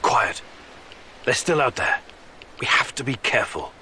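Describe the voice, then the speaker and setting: An actor playing a wary, skeptical male hero in a video game, questioning and steady. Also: medium pitch, a little slow, a little hiss or hum. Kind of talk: video game character acting.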